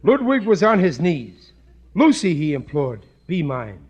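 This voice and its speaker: Old radio sitcom dad, blustery n suspicious, kinda high voice, earnest and not too bright. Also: male voice, very animated, a little slow, husky, muffled recording, full character voice. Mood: earnest reading aloud. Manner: colloquial, dim-witted earnestness. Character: blustery, suspicious working-class family father. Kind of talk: 1950s radio comedy acting.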